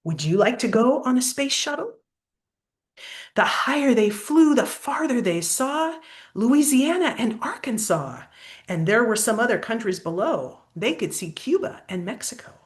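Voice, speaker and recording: A woman's voice: on the high side, sing-song, measured pace. An older woman reading a children's picture book aloud expressively, like a grandmother pointing out pictures to a child.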